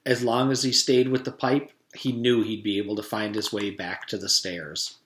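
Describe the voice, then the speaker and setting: A man's voice: on the low side, flat delivery, moderate pace, fairly bright tone. A man, a teacher, reading a children's storybook aloud to his students. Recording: echoey room.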